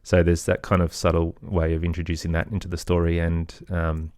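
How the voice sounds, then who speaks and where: A man's voice: deep voice, monotone, fairly quick, darkish tone, dry recording, clean studio sound. A man with an Australian accent, chatting casually as an interview guest about his work. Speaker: interview guest, children's podcast creator.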